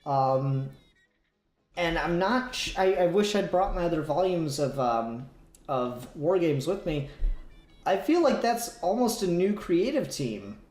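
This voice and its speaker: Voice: mid-range voice, lively intonation, a little slow, a little mellow, faint music behind. A podcast co-host in casual comic book discussion, talking emphatically, repeating words and swearing. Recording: roomy, echoey sound.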